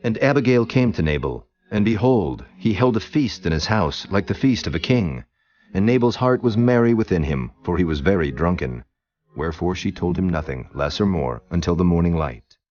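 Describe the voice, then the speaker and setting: A man giving scripted Bible narration as a solemn, measured storyteller. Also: sits low, lively intonation, darkish tone, lo-fi sound.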